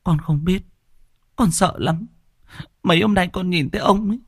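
A man voicing a frightened young village woman haunted by a ghost, speaking in a high, trembling, panicky voice. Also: moderate pace, breathy edge, no echo, clean studio sound. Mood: terrified.

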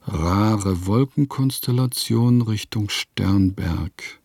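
A man's voice: on the low side, extremely slow, vocal fry, darkish tone, breathy edge, no room sound, clean studio sound, full character voice. An actor playing an eccentric composer who reads his diary aloud in a radio play, in terse, old-fashioned literary German diction. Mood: dry, reflective.

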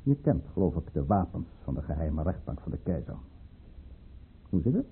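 Suave, menacing aristocratic schemer, swooping intonation. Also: male voice, very deep voice, sing-song, a little hiss or hum, full character voice. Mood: menacing, commanding. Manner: suave, formal, coldly commanding, deliberate. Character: scheming, menacing male governor and aristocratic lover at tsarist court. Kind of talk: Dutch radio drama acting. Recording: muffled, tinny old radio play recording.

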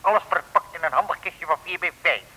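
A fussy, officious civil servant in a vintage comic radio play. His voice is highish and penetratingly bright, with a formal, pedantic delivery. It sounds like an old radio recording with a long reverb. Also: a little fast, breathy edge. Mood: dryly officious. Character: pedantic, officious government supply clerk. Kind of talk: 1950s-60s Dutch comic radio play acting.

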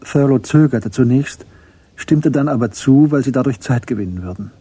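A man narrating a radio crime drama in a measured, matter-of-fact way as its storyteller. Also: medium pitch, lively intonation, warm tone, slightly rough, no room sound.

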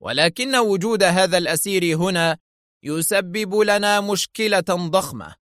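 A man's voice: sits high, normal speed, no echo, studio quality, voice pushed up. A man voicing a stern, angry male agency director in a story, tense and agitated. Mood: stern, sharp. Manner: sharp, agitated, protesting. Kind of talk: dramatised audio story dialogue.

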